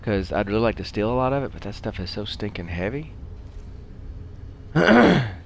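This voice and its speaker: A man's voice: on the low side, big pitch swings, a little fast, vocal fry, slight background noise. A middle-aged man giving casual commentary as he plays a video game, rambling and talking to himself. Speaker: gaming video host.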